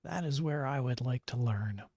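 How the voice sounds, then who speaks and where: A man's voice: medium pitch, moderate pace, a little mellow, dry recording, studio quality. An older man, chatting casually on his livestream in a gushing way, with lots of fillers. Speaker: streamer.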